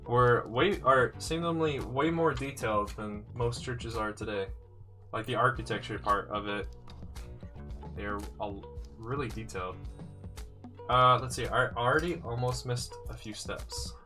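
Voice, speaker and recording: Bright-voiced, slightly congested male streamer. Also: mid-range voice, unhurried. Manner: thinks aloud, answers viewers' comments. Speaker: live streamer building sets. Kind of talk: casual live-stream chat. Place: indoors, his room. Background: faint music playing.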